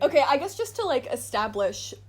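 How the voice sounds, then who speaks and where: A woman's voice: high-pitched, sing-song, fairly quick, slight background noise. A young woman from the United States co-hosting a podcast, chatting casually with lots of "like" fillers. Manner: chatty, many "like" fillers, laughs often. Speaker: podcast co-host. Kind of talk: casual chat. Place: indoors, in person with co-hosts.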